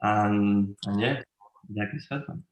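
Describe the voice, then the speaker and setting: A man briefly introducing himself on a video call in a relaxed way. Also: fairly low pitch, takes it slowly, reverberant, poor audio. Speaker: co-founder guest. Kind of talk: casual self-introduction.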